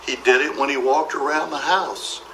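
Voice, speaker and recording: A man reading a children's story aloud in an expressive way, acting out the dialogue. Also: on the high side, normal speed, distant mic. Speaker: storybook reader. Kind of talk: scripted children's story narration. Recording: roomy echo, faint background noise.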